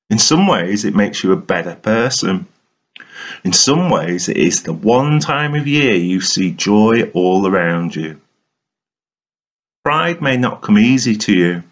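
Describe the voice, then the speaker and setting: A man giving a dramatic, motivational spoken-word reading in an earnest, emphatic, deliberate way. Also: lowish voice. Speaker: reader of a written monologue. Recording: dull, lo-fi recording.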